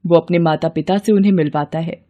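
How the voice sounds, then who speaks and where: A woman's voice: low voice, fairly even intonation, fairly quick, mellow, dull audio. A woman reading scripted narration for a moral bedtime story.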